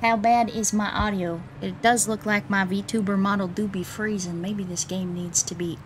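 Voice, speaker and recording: A woman speaks with a Southern American drawl. She chats casually on a game livestream, using folksy cowboy phrases in a mid-pitched voice. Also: steady tone, moderate pace, a little hiss or hum. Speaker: livestreaming VTuber host playing a video game.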